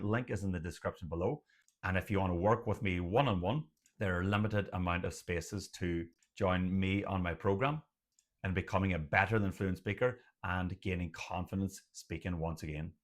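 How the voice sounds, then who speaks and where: Brief: young adult Irish man, deep low voice, speaks with an audible stutter, direct to camera. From Ireland. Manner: stutters, with blocks and repeated sounds. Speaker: doctor and channel host. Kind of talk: personal talk to camera.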